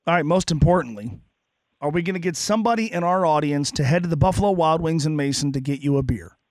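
A man hosting a sports radio show in a relaxed, casual way, with easy filler words. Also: on the high side, quick pace, no room sound. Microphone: studio microphone.

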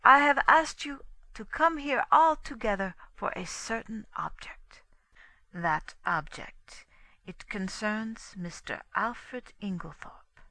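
A woman voicing a male detective in an audiobook, formal and menacing, making deliberate, pointed, dramatic pronouncements. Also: a little high, sharp tone, no echo, pitched above the performer's own voice, full character voice. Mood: solemn, grand. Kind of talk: dramatic audiobook character dialogue.

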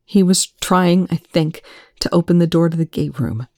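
A woman reading aloud, voicing a distraught young man who shouts and then chokes out whispers through tears. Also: on the low side, normal speed, no room sound, studio quality, close to an everyday voice. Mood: urgent recounting.

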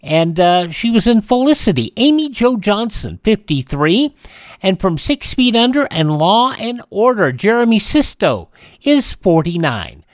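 A man hosting a radio show, reading out a list of dates and names in a halting, deliberate way. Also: sits high, big pitch swings, no echo, studio quality. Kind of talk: radio almanac narration.

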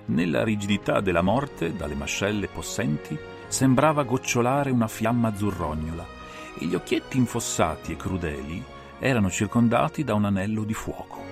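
A man's voice: on the low side, animated, moderate pace, gravelly. A man narrating an audiobook in a dramatic, theatrical and suspenseful style. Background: faint music and sound design.

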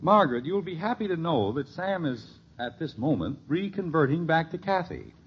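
A man's voice: fairly high pitch, sing-song, moderate pace. A middle-aged man playing a genial, wry family father in a 1950s radio sitcom, dry and teasing. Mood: wryly amused. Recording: muffled, hissy old radio recording.

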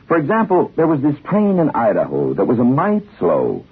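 An American man hosting a 1950s radio show, telling scripted humorous tales in a husky voice. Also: mid-range voice, huge pitch swings, moderate pace. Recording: muffled narrow-band 1950s radio recording.

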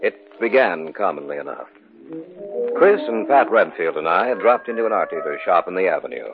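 A man's voice: low voice, big pitch swings, fairly quick, over music. A man playing a matter-of-fact narrator telling his own story in a 1950s American radio drama. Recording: tinny 1950s radio recording.

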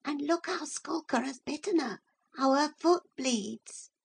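A woman voicing a timid well-bred boy who speaks in a hush, in an audiobook. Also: sits high, unhurried, gravelly, pitched above the performer's own voice. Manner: hushed, whispering.

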